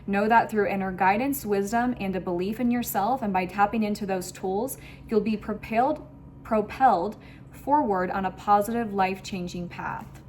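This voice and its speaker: Woman, mid-pitched voice, tarot reader, effusive, emphatic, spiritual online reading, faint ambient noise. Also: normal speed, a little mellow. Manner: effusive, emphatic, repeats phrases. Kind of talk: casual, spiritual tarot reading addressed to viewers.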